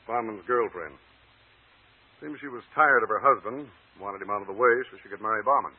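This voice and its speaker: An actor playing a blunt, insistent homicide detective in 1940s radio style, husky and matter-of-fact. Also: male voice, lowish voice, brisk. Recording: tinny, echoey old radio recording.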